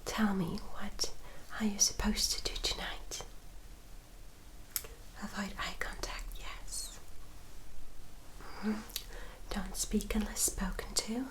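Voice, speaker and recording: A gentle elder vampire sister of high society, acted by a woman for an ASMR roleplay. She mostly whispers, with very unhurried delivery. Her wording is formal and her manner is tender and soothing, as if caring for a younger sibling. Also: little pitch movement, rough recording. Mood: calm, instructive. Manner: slow, soft, formal and soothing, addressing listener directly.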